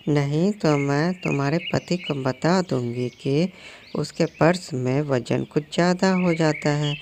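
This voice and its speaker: A scheming old mother-in-law sparrow from a cartoon storybook, bossy and taunting. Her voice sits high and thin with an odd, pinched colour, as a male narrator puts her on. She speaks slowly with a mocking, commanding edge. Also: a little mellow, slight background noise.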